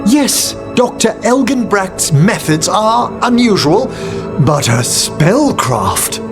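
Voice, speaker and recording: An actor playing a genteel, middle-aged necromancer in a fantasy video game, with formal, eloquent and measured diction. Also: male voice, high-pitched, very animated, heavily acted. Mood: genial, conversational. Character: genteel, learned necromancer man, courtly and wordy. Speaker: companion character in a fantasy game. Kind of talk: dramatic video game dialogue. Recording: music behind the voice.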